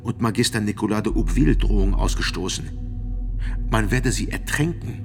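A man's voice: lowish voice, lively intonation, a little fast, music in the background. An actor playing a reluctant physician in his forties, testifying formally and carefully in a medieval trial radio play. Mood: guarded, earnest. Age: 40s. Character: middle-aged male physician, guarded, reluctant medieval trial witness.

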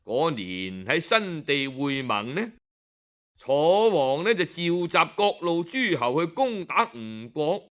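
A man's voice: on the high side, big pitch swings, slow, fairly bright tone. A man with a Cantonese accent telling a story in a dramatic, colloquial audiobook style, full of rhetorical touches. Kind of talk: traditional dramatic oral storytelling, audiobook narration.

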